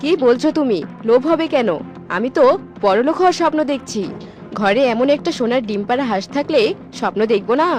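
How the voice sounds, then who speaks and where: A woman's voice: a little high, lively intonation, fast, a little mellow, lightly acted. A woman voicing a greedy, nagging mother in a fairy-tale cartoon, speaking emphatically. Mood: defensive. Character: greedy, scolding mother dreaming of riches. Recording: faint music under the voice.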